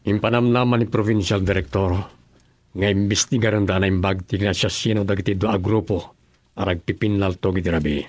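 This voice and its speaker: Guy playing a serious governor, kinda low voice, dry sound, ilocano radio serial. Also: steady tone, a little fast, no room sound. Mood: grave. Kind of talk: Ilocano radio drama acting.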